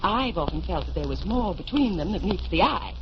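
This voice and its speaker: An actress playing a gushing, gossipy society matron in an effusive, affected, flustered way, in 1940s radio style. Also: female voice, lowish voice, sing-song, fairly quick. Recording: muffled, hissy vintage radio recording.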